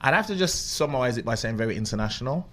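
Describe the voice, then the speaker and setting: A man's voice: mid-range voice, expressive, very fast, fairly bright tone. A man chatting casually as an interview guest, explaining things in a rambling way. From UK, West Midlands of England. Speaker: podcast guest, DJ.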